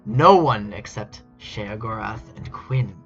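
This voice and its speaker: A man streamer reads aloud at an unhurried pace, slipping between casual chat and mock-dramatic storytelling. He sounds amused and incredulous. The mic is dull and lo-fi, with music playing behind him. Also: lowish voice, expressive, a bit bright. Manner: amused, incredulous asides while reading. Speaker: streamer reading fan fiction aloud. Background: background music.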